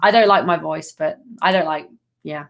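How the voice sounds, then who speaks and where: A middle-aged woman from Nottingham, England, giving a live angel card reading in a gentle, soothing, affectionate way, with lots of fillers and endearments. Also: low voice, moderate pace, creaky.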